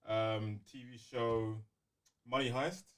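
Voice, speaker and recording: A man's voice: mid-range voice, steady tone, slow, faint music behind. A man chatting casually on a podcast, talking in a hesitant, rambling, noncommittal way. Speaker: podcast co-host. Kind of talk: casual chat.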